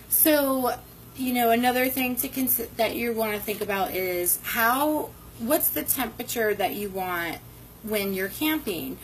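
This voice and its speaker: A woman's voice: a little high, a bit bright, a little hiss or hum, rough recording. Chatty woman teaching camping outdoors. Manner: conversational, frequent ums and restarts. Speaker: camping workshop host. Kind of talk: casual instructional livestream. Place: covered porch outdoors by a brick wall. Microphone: phone camera at arm's length.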